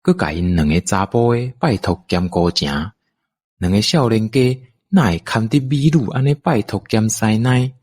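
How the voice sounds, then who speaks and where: Taiwanese guy narrating a radio story, low n kinda husky. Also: expressive, normal speed, darkish tone. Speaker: audio drama narrator.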